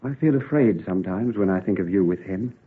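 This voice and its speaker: Lovelorn gentleman suitor, impassioned, animated pitch, radio drama, thin tinny recording. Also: brisk. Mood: jealous, earnest. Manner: earnest, impassioned. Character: ardent, lovelorn gentleman suitor, jealous rival. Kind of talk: old-time radio drama acting.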